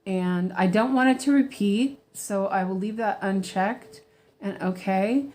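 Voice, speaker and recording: American woman, unhurried, chatty, rambling, lots of ums, laughs easily. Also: medium pitch, a little mellow. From United States. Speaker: weaving teacher. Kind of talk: casual stitch-along chat.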